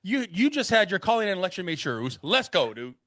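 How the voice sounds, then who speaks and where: A man's voice: sits high, very animated, fast talker, sharp tone, no echo, studio quality. A man co-hosting a podcast, joking and teasing in hyped slang. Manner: hyped, slangy, teasing, calls out "let's go, dude". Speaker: podcast co-host. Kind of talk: joking banter.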